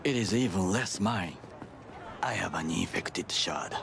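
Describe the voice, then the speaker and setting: An actor playing a stern middle-aged bodyguard in a video game, with a Japanese accent and formal, terse phrasing. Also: male voice, sits low, unhurried, vocal fry. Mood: secretive, measured. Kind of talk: cinematic video game dialogue. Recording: game dialogue over street and vehicle noise.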